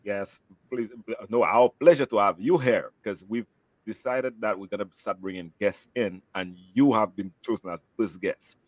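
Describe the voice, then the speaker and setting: A man's voice: fairly low pitch, moderate pace, no room sound, poor audio. A man with a Saint Lucian accent hosting a casual promotional interview, chatty and fond of repeating words for emphasis. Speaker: host, travel agent.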